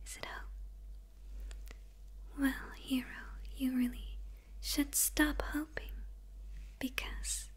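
Woman whispering as a gloating demon villainess. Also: sits high. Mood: fascinated, teasing, then quietly menacing. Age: young adult. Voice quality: breathy whisper.

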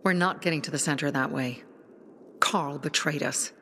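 A resolute young woman leading a resistance in a video game. Her voice sits low and speaks with firm conviction. Faint noise runs behind her. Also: normal speed, fairly bright tone. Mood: grave, resolute. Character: determined young woman, resistance leader rallying her people.

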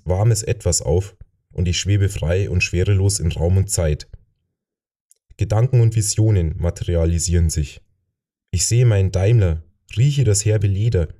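A man reads a first-person novel as an audiobook narrator. His voice is lowish and very dark and mellow, with the measured phrasing of scripted storytelling.

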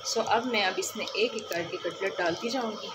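A woman's voice: mid-range voice, moderate pace. Chatty woman in a noisy kitchen. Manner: chatty, step-by-step, leans on the filler "jo hai". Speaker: cooking vlogger. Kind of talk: casual cooking demonstration. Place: home kitchen, indoors. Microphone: phone held over the stove. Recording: noisy phone recording in a kitchen. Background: loud kitchen noise, frying and running water.

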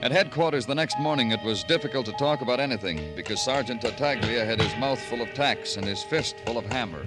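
An actor playing a world-weary, brooding New York police detective in an old radio noir drama, moody and terse. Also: male voice, mid-range voice, fast, loud music behind the voice, lightly acted. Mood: wistful, lyrical. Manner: terse in talk, moody poetic narration. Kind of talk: 1940s-50s radio noir acting.

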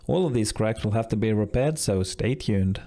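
A young man hosting a casual do-it-yourself video, explaining each repair step in a relaxed, self-deprecating way. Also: fairly low pitch, normal speed. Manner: casual, self-deprecating, thinks aloud while explaining steps. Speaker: channel host. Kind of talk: casual DIY vlog narration.

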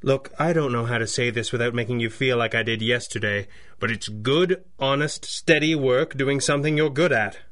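An actor playing a wealthy young 1930s gentleman in a radio drama, formal and polite with a dry wit. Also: mid-range voice, normal speed, natural voice. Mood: composed, persuasive. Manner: formal, courteous, dryly witty.

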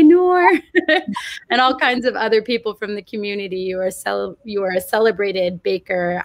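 A woman's voice: medium pitch, dry recording. Brief: young woman, webcam host of a live stream, bubbly and effusive, dry close sound. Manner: upbeat, effusive with praise. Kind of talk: livestream event hosting. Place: home room, on video call.

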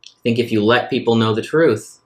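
A man's voice: medium pitch, a little fast. A man playing a tabletop roleplaying game, talking casually in character.

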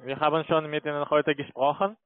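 A man's voice: on the high side, flat delivery, a little fast, no room sound. A man working as a call center agent, making a sales call by phone. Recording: dry narrow phone line.

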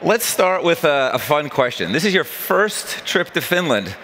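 A man's voice: a little high, sing-song, a little slow, pushed and bright. A middle-aged man hosts a conversation on a big conference stage, wearing a headset mic. His questions wander and restart as he feels his way to the point. A faint hum lies under his voice. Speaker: interviewer, investor.

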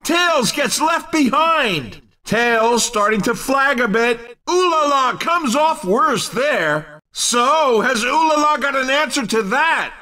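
Very high-pitched excitable race commentator. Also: male voice, slow, few pauses, distant mic, full character voice. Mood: excited, teasing. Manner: emphatic, punchy, exclamatory. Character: loud, excitable male arcade race commentator. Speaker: racing game commentator.

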